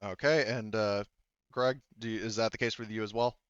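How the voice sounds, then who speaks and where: Male game master, deep-leaning and clean-toned, informal and profane, on a slightly dull voice chat. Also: steady tone, normal speed, smooth, dry recording. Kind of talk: casual chat running an online tabletop game.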